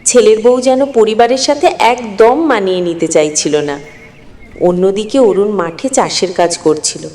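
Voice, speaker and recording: A woman's voice: medium pitch, measured pace, darkish tone. A woman narrating a scripted moral story in an earnest, dramatic storytelling manner. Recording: long hall-like reverb.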